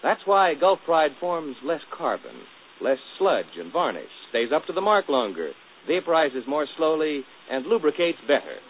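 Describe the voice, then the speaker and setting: A man's voice: sing-song, moderate pace. Folksy 1940s radio announcer, man. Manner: folksy, hearty salesman pitch. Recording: tinny old radio broadcast with hiss.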